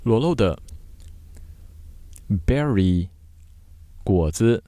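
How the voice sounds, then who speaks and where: A man teaching vocabulary word by word in measured, short separated phrases, as a scripted lesson. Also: lowish voice, expressive, warm tone, no echo.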